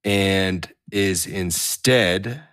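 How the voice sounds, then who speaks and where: A man's voice: sits low, very slow, a bit bright, clean tone, no echo, clean studio sound. A man playing a tabletop game, casually narrating his character's moves as he thinks aloud.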